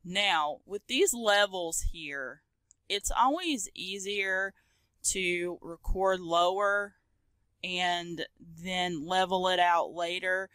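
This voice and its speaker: A woman hosting a casual software tutorial, explaining step by step in an informal, conversational way. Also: lowish voice, extremely slow, clean tone, no room sound. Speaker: tutorial host.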